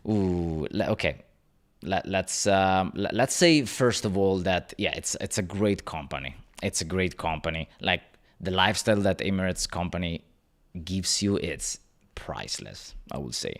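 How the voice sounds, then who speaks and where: A man's voice: sits low, lively intonation, normal speed. A man in his thirties hosting a casual livestream, greeting viewers by name and chatting with lots of fillers and restarts. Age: 30s. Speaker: YouTube channel host. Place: rented apartment.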